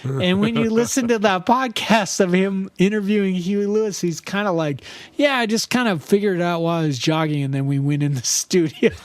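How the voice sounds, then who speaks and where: A man with a noticeably high voice chats loosely, often laughing and trailing off mid-thought. His delivery is animated. The sound is dry, with no room echo. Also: a little fast, few pauses. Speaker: podcast co-host. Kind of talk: casual chat.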